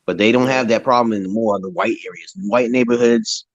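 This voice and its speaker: A man with a deep voice in casual, opinionated chat, talking in a loose, slangy way and laughing often. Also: a little fast, muffled recording. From United States. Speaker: conversation partner in an English chat.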